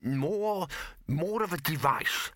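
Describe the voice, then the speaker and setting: A man's voice: fairly high pitch, big pitch swings, a little slow, pushed and bright, dry recording, clean studio sound, exaggerated voice. A man voicing a fussy, uncertain male bursar with a faltering, deferential manner in an audiobook. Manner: hesitant, faltering.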